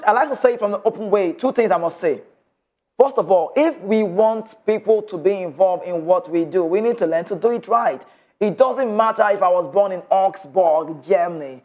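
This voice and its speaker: A man's voice: sits high, a little mellow. A man with a Nigerian accent hosting a sports show, reading football news and giving passionate commentary with bits of Pidgin.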